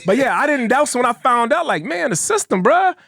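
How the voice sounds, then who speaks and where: A man, a former police officer, telling a story in a relaxed podcast chat, laughing often and acting out voices. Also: very high voice, brisk, vocal fry. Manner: animated, laughing, acts out quoted voices. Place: small podcast studio. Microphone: studio mic on boom arm, headphones.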